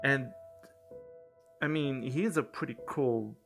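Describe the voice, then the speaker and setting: A man's voice: medium pitch, slow, gravelly, a bit bright, faint music behind. A man livestreaming a video game, chatting casually and thinking aloud in a rambling, musing way, often correcting himself.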